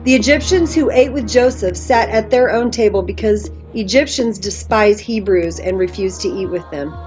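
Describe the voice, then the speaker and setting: A woman's voice: mid-range voice, normal speed, darkish tone, low-quality recording. A woman narrator reading scripture aloud as dramatic scripted storytelling. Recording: music behind the voice.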